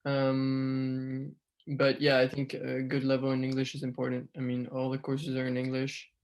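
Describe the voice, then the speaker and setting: A man's voice: mid-range voice, completely flat delivery, moderate pace, darkish tone. A young man, a student, giving hesitant advice on a video call with many fillers. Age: young adult. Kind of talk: casual advice on a webinar.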